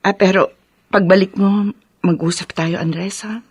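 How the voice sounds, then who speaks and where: An actress playing a practical middle-aged single woman in a radio drama, talking in a measured, plain-spoken way. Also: medium pitch, steady tone, darkish tone, husky, no echo, clean studio sound. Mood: hesitant, tender.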